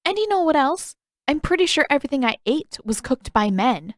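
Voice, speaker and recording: A woman voicing an exasperated, sharp-tongued fourteen-year-old girl in an audiobook, challenging and sarcastic. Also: high-pitched, very animated, brisk, dry recording, clean studio sound, pitched above the performer's own voice. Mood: irritated. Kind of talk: audiobook character voice.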